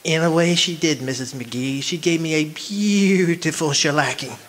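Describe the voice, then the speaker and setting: A man playing a meek, henpecked little husband, timid and prim with drawn-out words, in old-time radio comedy style. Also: fairly high pitch, natural voice. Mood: wistful, chuckling.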